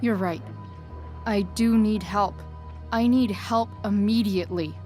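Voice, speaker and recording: A woman's voice: mid-range voice, measured pace, darkish tone, faint music behind, lightly acted. A woman playing a guarded, sarcastic, rebellious teenage girl in a video game, hesitant and slangy. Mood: careful, placating. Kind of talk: naturalistic video game voice acting.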